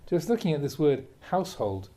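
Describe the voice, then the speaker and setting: Mellow-voiced man reading a Dharma talk. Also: a little high, sing-song. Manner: measured, emphatic, with rhetorical questions. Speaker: Buddhist monk reading a teacher's talks aloud. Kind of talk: reading a translated Dharma talk aloud, with brief asides.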